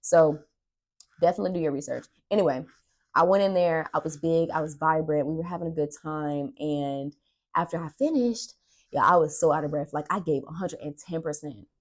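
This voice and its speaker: Low-pitched young woman telling stories casually. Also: brisk. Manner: animated, dramatic, acts out dialogue and emphasizes words. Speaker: podcast host and aspiring actress. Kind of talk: casual storytelling vlog chat.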